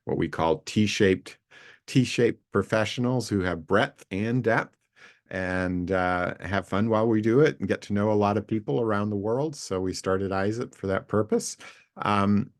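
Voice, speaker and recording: A man in his late sixties with a very low, gravelly voice, speaking over a video call. He rambles in a friendly, unhurried way, stopping to restart his sentences and filling gaps with "um" and "uh". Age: 60s. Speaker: co-founder introducing an online community session. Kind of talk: casual spoken introduction on a video call.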